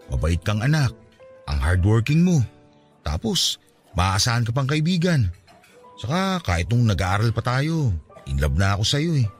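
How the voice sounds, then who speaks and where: A young man confessing his love in a radio drama. His voice sits low but moves widely as he pleads. Soft music plays faintly beneath him. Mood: pleading. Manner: earnest, pleading.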